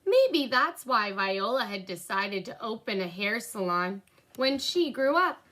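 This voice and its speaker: A young adult woman reading a children's storybook aloud in an expressive, storytelling manner, with brief asides. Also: on the high side, a little slow. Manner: animated, clearly paced storytelling. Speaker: storybook reader. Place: indoor room at home by a window.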